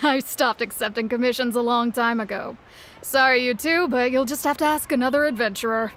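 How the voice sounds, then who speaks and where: A woman's voice: a little high, brisk, fairly bright tone, a little hiss or hum, close to an everyday voice. An actress playing a self-assured, no-nonsense female guild master in a video game, with a casual, blunt manner. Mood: amused, dismissive. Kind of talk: video game character acting.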